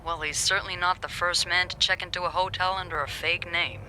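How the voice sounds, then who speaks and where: A woman's voice: fairly low pitch, steady tone, brisk, bright, forceful tone, slight background noise. A woman playing a sly, teasing, self-assured hotel receptionist in a video game, with a wry, confident delivery. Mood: playful, intrigued.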